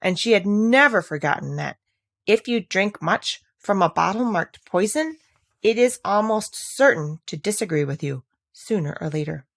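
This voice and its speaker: Female storybook narrator, mid-pitched with lively intonation, touches of vocal fry, expressive scripted read, dry close sound. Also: normal speed, no echo. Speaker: audiobook narrator.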